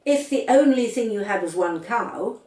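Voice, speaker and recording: A woman's voice: mid-range voice, lively intonation, moderate pace, warm tone, echoey room, recorded from a distance, pitched above the performer's own voice, close to an everyday voice. A woman voicing sceptical, teasing young brothers in a storybook reading, blunt and questioning.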